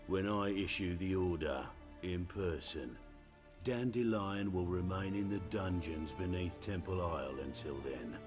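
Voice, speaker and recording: An actor playing a cold, smugly pompous middle-aged witch-hunter commander in a video game, speaking formally with sardonic, measured phrasing. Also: low voice, music in the background. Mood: smug, menacing.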